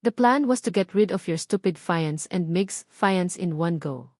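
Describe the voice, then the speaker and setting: A woman's voice: fairly low pitch, lively intonation, measured pace, a little mellow, no room sound, studio quality. A woman with a Filipino accent voicing an arrogant, scheming young villainess in an audiobook, haughty and theatrical. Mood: smug, scornful.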